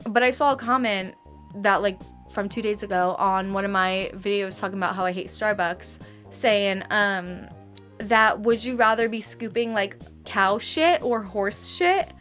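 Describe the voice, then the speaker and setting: A woman's voice: medium pitch, a little slow, a bit bright, faint music behind. A twenty-one-year-old woman with a slight lisp, ranting about her barista job in a casual vlog, exasperated and slangy, checking in with "okay?" over and over. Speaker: vlogger and barista trainer.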